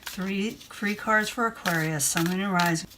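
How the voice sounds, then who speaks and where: Woman, tarot reader, casual and reassuring, repetitive, voice giving out, mid pitch. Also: moderate pace, background noise. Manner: chatty, reassuring, repeats herself. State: losing her voice. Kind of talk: casual tarot reading to camera.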